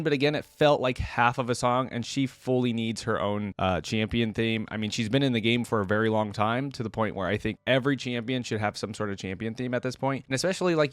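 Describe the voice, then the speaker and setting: A man's voice: mid-range voice, no room sound. A man giving casual, opinionated reaction commentary as a host, musing aloud in an informal way.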